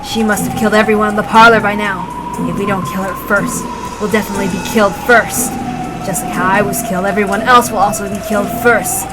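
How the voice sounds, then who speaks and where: A woman voicing a wounded young male servant who is forceful, repetitive and eerily insistent, in a story reading. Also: mid-range voice, fairly even intonation, fast, over loud music, lightly acted, low-quality recording. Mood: angry, insistent.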